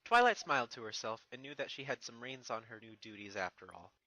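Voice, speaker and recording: A narrator reading a scripted fan fiction story in an expressive storytelling manner. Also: medium pitch, brisk, poor audio.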